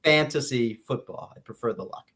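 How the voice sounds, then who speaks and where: A man's voice: medium pitch, normal speed, a bit bright. American male co-host, casual talk. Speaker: podcast co-host. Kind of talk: casual banter between friends.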